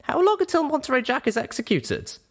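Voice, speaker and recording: A man's voice: extremely high pitch, huge pitch swings, a little fast, pitched above the performer's own voice, heavily acted. A man voicing a stubborn, angry young female pony in a dramatized audiobook, with a harsh, emphatic delivery. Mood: anxious.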